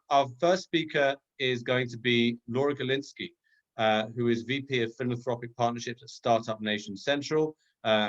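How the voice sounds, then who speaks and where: A British man from the UK hosting an online event and introducing a speaker, with many ums and restarts. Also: medium pitch. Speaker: webinar host. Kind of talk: introducing speakers on a video call. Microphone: video call. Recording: dull lo-fi video call audio.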